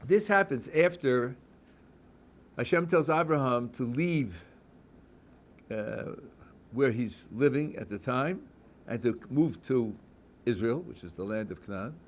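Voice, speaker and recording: New rabbi explaining scripture. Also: male voice, mid-range voice, lively intonation, takes it slowly. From New England. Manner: reads verses aloud, then explains them plainly. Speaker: rabbi teaching a student. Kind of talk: one-on-one text study.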